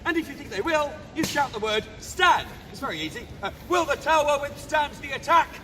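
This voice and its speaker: A man's voice: very high-pitched, big pitch swings, a little fast, over music. A man playing a jovial, blustering castle guard in a live outdoor re-enactment, projecting theatrically to visitors. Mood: explaining. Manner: theatrical, declamatory, projecting to a gathering. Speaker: costumed guide performing for visitors. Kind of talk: outdoor live historical re-enactment, audience participation. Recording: outdoor crowd, noisy open reverberant space.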